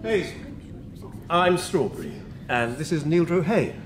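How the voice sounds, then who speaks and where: A genial, boastful male rabbit showing guests around in a radio play. The pitch is raised and highly varied. Faint noise runs behind it. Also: big pitch swings, a little slow. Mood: genial, proud. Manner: breezy, boastful. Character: genial, proud male rabbit guide, breezy. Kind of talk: radio drama acting.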